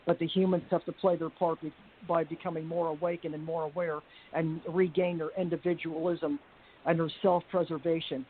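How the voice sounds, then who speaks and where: A woman with a deep voice speaks over a thin, phone-like line with a faint hiss underneath. She rambles through a personal account, pausing on fillers and restarting words, her pitch staying fairly level. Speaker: panel guest. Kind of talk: personal account on a group call.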